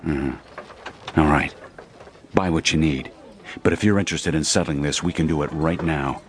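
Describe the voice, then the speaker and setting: An actor playing a cool, taunting, threatening Old West bully in an audio drama. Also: male voice, extremely low pitch, normal speed, a little hiss or hum. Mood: cool menace. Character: menacing, taunting male Old West land-grabbing bully. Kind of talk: dramatized western audiobook acting.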